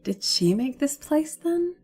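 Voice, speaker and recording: A woman's voice: high voice, big pitch swings, takes it slowly, dark tone, pitched above the performer's own voice, exaggerated voice. A woman voicing a plucky, questioning young girl from a storybook in an earnest, insistent way. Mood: curious, questioning.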